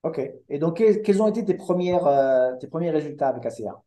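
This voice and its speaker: Male interviewer, somewhat high pitch, warm dark tone, echoey room, friendly, hesitant. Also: animated, a little fast. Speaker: interviewer, host. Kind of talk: casual interview questions. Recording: roomy echo.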